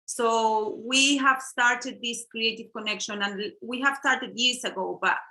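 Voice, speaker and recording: A middle-aged woman co-hosts an online workshop over a video call. Her voice is slightly high and very bright. She speaks in a halting, casual way, with fillers and restarts. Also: steady tone, rough recording. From Chile. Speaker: workshop co-host.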